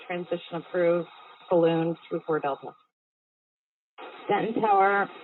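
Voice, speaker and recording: A woman speaking as a balloon pilot, making clipped, procedural aviation calls over the radio. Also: medium pitch. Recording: tinny, phone-like band-limited radio audio.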